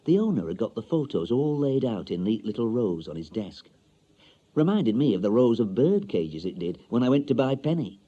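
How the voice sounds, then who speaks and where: An actor playing a chatty, wistful older Yorkshire bachelor in a radio monologue, colloquial, confiding and droll. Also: male voice, mid-range voice, big pitch swings, normal speed, mellow, dull audio. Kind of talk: dramatic monologue, radio short story.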